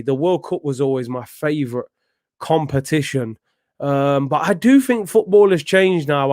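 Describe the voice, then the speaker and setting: Young guy doing a footy watchalong, high voice, dry close sound, loads of slang. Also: no echo. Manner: slangy, chatty, bursts into excited shouting at big moments. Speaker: host of a football watchalong stream. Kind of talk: live football watchalong chat and commentary.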